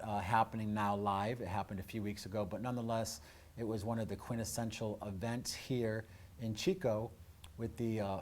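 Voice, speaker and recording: Low-voiced man hosting a show. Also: a little mellow. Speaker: radio show host. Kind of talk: live community show presenting, conversational.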